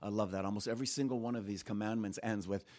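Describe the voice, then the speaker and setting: A man raised in Japan preaches as a guest speaker, reading scripture aloud and then drifting into stories from his own childhood. He talks in an animated, conversational way, with quick asides to the congregation. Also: lowish voice. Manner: animated storytelling with asides and laughs.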